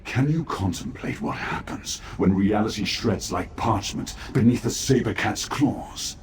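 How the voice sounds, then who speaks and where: Grave, slow-speaking doomed servant, played by a man. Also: on the low side, natural voice. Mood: grave, resigned. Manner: grave, urgent, formal. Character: despairing, loyal male servant of a fallen prince. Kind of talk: scripted fantasy video game dialogue. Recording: echoey room, faint background noise.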